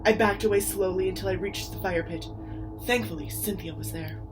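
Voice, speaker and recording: A woman's voice: mid-range voice, brisk, over music, rough recording. A woman reading a scripted horror story aloud in a theatrical, suspenseful way. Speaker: author reading their own short story.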